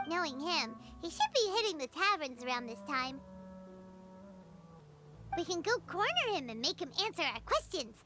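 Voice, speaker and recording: A woman voicing a tiny, excitable fairy girl companion in a video game, chattering eagerly. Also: extremely high pitch, normal speed, long pauses, full character voice. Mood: excited. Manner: excitable, refers to herself by name. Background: game music.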